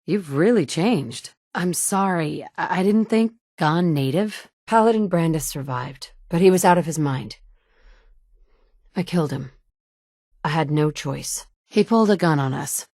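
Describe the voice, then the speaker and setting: A blunt, no-nonsense wasteland survivor woman for a video game. Her voice sits low for a woman and is recorded dry and close. She talks in short, matter-of-fact lines. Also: animated, a little slow, a little mellow, dry recording. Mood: steady. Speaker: player character in a video game.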